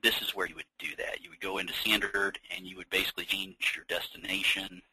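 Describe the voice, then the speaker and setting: An American man leading an informal software training webinar, explaining things conversationally with practical examples. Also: low voice, flat delivery, fast talker, background noise. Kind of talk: informal software demonstration, unscripted walkthrough.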